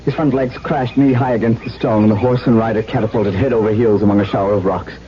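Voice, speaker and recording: An actor playing a grief-stricken, defiant widowed baron with intense delivery in a 1970s radio drama. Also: male voice, lowish voice, steady tone, fast talker, slight background noise, close to an everyday voice. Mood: mournful recollection. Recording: dull 1970s radio broadcast.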